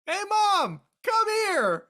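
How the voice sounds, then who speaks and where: A man plays a loyal, excitable high school jock in a naturalistic radio play. His voice is bright and forceful and swings widely in pitch. He talks in slangy exclamations. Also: extremely slow, exaggerated voice. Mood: playful. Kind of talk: naturalistic dialogue in a live-streamed audio play.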